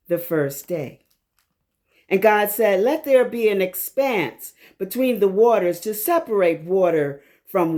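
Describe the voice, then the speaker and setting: A woman reading scripture aloud in a measured, deliberate and solemn way, pausing between phrases. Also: lowish voice, darkish tone. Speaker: scripture reader.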